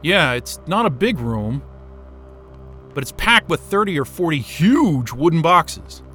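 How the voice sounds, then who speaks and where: A man's voice: on the high side, measured pace, faint music behind, full character voice. A man playing a frantic, hot-tempered, impatient stranded man in a naturalistic audio drama. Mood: puzzled, wary. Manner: excitable, exasperated, muttering and cursing.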